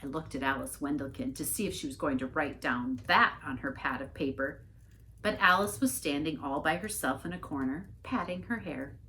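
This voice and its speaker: A woman reading a storybook aloud as an expressive narrator. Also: low voice, normal speed, a little hiss or hum, low-quality recording. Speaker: story reader, church staff member.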